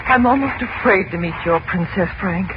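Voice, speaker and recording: A woman's voice: medium pitch, animated, normal speed, lightly acted. A woman playing an anxious, hesitant mother. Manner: worried, hesitant. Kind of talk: 1940s radio acting. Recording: muffled old radio broadcast with loud hiss.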